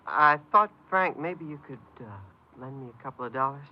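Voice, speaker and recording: A man's voice: fairly high pitch, normal speed, close to an everyday voice. An actor playing a naive teenage runaway boy who puts on a worldly front, hesitant and defensive, in 1950s radio drama style. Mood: embarrassed pleading. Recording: muffled 1950s radio recording with music.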